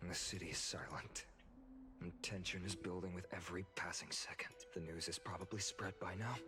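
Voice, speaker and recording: A man playing an earnest young hero in a video game, speaking in a serious, measured way. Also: deep voice, steady tone, close to an everyday voice. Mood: tense, worried.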